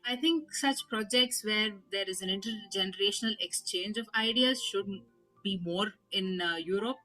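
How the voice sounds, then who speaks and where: A young woman with an Indian accent talks earnestly in a bright, clear voice, often pausing on small fillers. Faint music sits underneath her testimony. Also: mid-range voice, poor audio. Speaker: doctor, project participant. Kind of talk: personal testimony.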